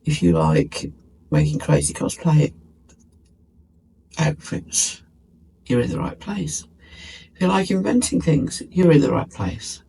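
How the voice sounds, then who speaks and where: A man's voice: fairly high pitch, sing-song, slow pace, gravelly, pauses often, dark tone, slightly rough, poor audio. A British man chatting casually in a craft vlog, sounding stuffy and bunged up from a lingering cold, musing and poking fun at himself. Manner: chatty, musing, self-mocking. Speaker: craft vlogger.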